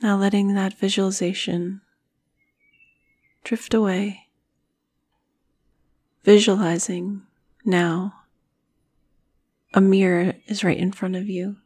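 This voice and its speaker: A woman guiding a meditation in a soothing, breathy manner, with phrases often trailing off into a whisper. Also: medium pitch, little pitch movement, slow pace, long pauses, a little mellow. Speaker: meditation guide. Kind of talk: guided meditation narration.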